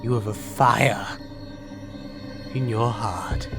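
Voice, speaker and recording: An actor playing a calm, condescending alien villain in an audio drama, with mock politeness and deliberate delivery. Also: male voice, lowish voice, creaky, over music. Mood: smug, controlled.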